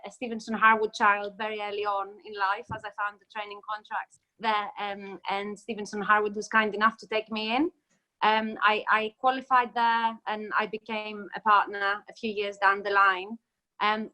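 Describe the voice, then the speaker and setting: A woman's voice: mid-range voice, bright, forceful tone, poor audio. A woman in her thirties from Greece, a lawyer on a video-call panel, talking hesitantly with many fillers and restarts. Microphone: laptop webcam mic, video call.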